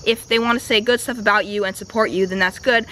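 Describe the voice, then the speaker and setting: A young woman vlogger, a bit raspy and slightly sick with a stuffy nose, chatting casually with lots of fillers. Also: medium pitch, steady tone, fast, a bit bright, slight background noise. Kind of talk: casual vlog voiceover and to-camera talk. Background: music.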